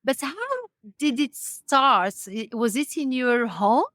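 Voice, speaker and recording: A woman from Morocco hosting a podcast, interviewing conversationally in a halting, discursive and affirming way. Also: a little high, a bit bright, no echo, studio quality. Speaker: podcast host.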